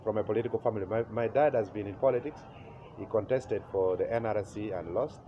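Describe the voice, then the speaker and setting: A man in his forties from Uganda with a Ugandan accent, telling his life story as an interview guest, pausing often with "uh" and chuckling at memories. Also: lowish voice. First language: Acholi. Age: 40s. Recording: slightly muffled with faint noise.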